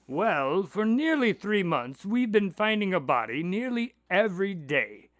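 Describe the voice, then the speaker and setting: An earnest doctor in a radio drama, the loyal sidekick who recounts the cases. His voice sits fairly high and moves in lively swings, yet the delivery stays articulate and conversational. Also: unhurried, no echo, studio quality, exaggerated voice. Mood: recounting, grave. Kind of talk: audio drama dialogue and storytelling.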